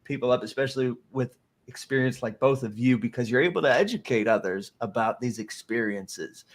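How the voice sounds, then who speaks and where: An upbeat man hosts a casual online chat, his voice sitting in an ordinary middle pitch. He rambles a little and restarts his sentences as he goes. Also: brisk. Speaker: host.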